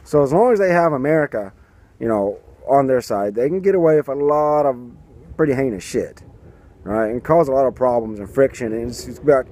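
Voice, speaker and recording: A man's voice: medium pitch, lively intonation, fairly quick, warm tone. A man in his thirties giving sarcastic political commentary to a handheld camera outdoors. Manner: sarcastic, mocking, puts on silly voices for quotes. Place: outdoors by a garden and building. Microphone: camera at arm's length.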